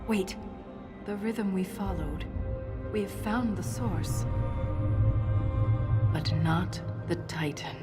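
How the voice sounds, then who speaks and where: A woman's voice: medium pitch, slow, long pauses, natural voice. Female dwarven scholar played by a woman, video game cutscene: earnest, questioning, full of wonder at her history, under prominent music. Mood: awed discovery. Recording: loud music behind the voice. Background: loud music.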